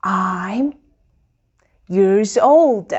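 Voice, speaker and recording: A woman teaching a beginner English lesson, modelling phrases very slowly and clearly for learners to repeat. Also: mid-range voice, animated, darkish tone, lo-fi sound.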